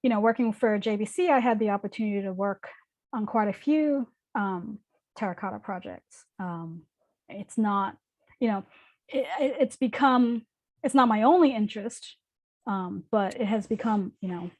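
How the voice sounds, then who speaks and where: A New York-born woman in her forties talks through audience questions after a lecture. She speaks at a middle pitch and keeps circling back with "um" and "you know" as she hunts for words. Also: normal speed, a little mellow. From New York, the Bronx. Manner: hesitant, many fillers and restarts. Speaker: guest lecturer, building conservator. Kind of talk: casual Q&A answers.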